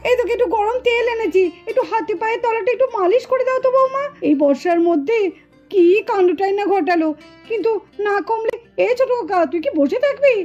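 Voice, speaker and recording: A woman's voice: extremely high pitch, expressive, a little fast, darkish tone, muffled recording, soft background music. A woman voicing a concerned grandmother doll in a puppet drama, fussing and giving orders. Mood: concerned, scolding. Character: concerned bossy grandmother doll, caring mother-in-law.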